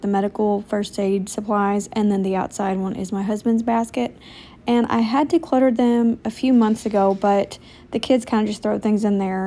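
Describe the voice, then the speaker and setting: Chatty American woman with a mellow voice. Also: medium pitch. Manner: chatty, informal, self-deprecating. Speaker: homemaking vlogger. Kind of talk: casual chatty vlog.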